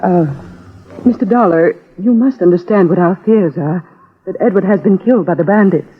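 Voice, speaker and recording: Tinny-sounding anxious genteel wife. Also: female voice, lowish voice, moderate pace, husky. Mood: anxious, restrained. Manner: hesitant, restrained. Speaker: supporting actress. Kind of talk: old-time radio drama acting. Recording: tinny, noisy vintage radio.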